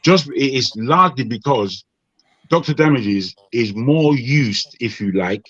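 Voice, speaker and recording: A Nigerian man on an online panel, speaking in a measured, advising way with frequent restarts. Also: mid-range voice, lively intonation, fairly bright tone, dry recording, highs cut off.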